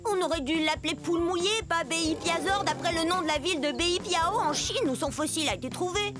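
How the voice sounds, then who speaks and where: A woman's voice: very high-pitched, a little fast, music in the background. A woman voicing a tiny, cheeky female horned dinosaur in a children's cartoon, teasing in a matter-of-fact way. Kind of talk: animated children's educational cartoon acting.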